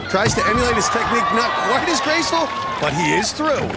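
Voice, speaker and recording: A man giving lively play-by-play commentary on an obstacle course, shouting at the big moments. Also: very high voice, fast talker, gravelly, poor audio. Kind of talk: sports commentary. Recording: loud music and crowd behind.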